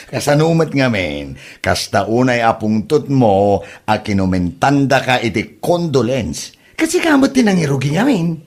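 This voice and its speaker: A man's voice: mid-range voice, sing-song, measured pace. A man playing a mocking, scolding village neighbour in an Ilocano comedy drama. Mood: amused, mocking.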